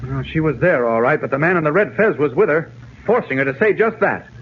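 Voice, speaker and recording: An actor playing a quick-thinking, take-charge American reporter in a 1940s radio serial, speaking urgently and decisively. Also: male voice, a little high, animated, lightly acted. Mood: urgent, firm. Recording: tinny, hissy 1940s radio recording.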